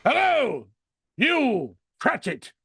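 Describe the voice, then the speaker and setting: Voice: sits high, huge pitch swings, very slow, creaky, a bit bright, slightly rough, no echo, clean studio sound, heavily acted. An actor playing an elderly reformed miser in a vintage radio drama, stammering and exclaiming with great feeling. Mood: mock stern. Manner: stammering, breathless exclamations with frequent chuckles.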